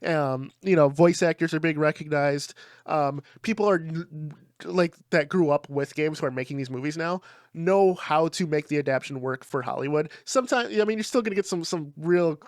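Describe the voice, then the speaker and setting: A man with a slightly high voice chats on a podcast, excitable and quick to laugh, swearing as he goes. The sound is dry and close, with no room echo. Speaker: podcast co-host. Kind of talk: casual chat.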